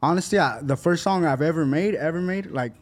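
A man's voice: fairly high pitch, brisk. An eighteen-year-old man who raps, chatting casually in slang with lots of fillers. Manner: laid-back street slang, lots of fillers. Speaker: rapper. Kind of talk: casual interview chat.

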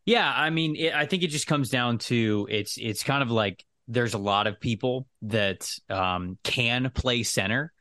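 A man's voice: medium pitch, measured pace, hardly any pauses, bright, forceful tone, no room sound, clean studio sound. A man co-hosting a sports podcast, talking through football analysis casually and explaining his points with careful clarifications.

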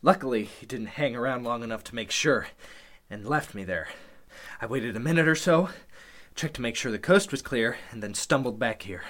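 A man's voice: mid-range voice, lively intonation, moderate pace, fairly bright tone. Man acting urgent, scheming man with a swooping voice. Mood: urgent, shaken. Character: scheming, injured man feigning urgent, protective concern. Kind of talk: Golden Age style radio play acting.

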